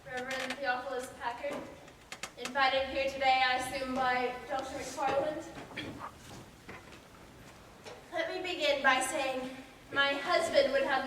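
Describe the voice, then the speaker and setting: A woman's voice: high-pitched, a bit bright. A woman on stage playing an earnest, formal 19th-century minister's wife who pleads her case with reason. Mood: earnest, firm. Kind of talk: stage play acting. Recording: echoey hall, distant mic, faint noise.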